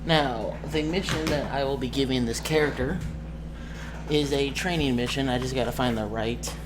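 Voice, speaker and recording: A man speaks at a middle pitch in a casual, unscripted vlog style as a tabletop game master. He rambles, hesitating and searching for the right word. Clear room noise runs behind him on an amateur recording. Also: moderate pace. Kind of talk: casual off-the-cuff vlog talk.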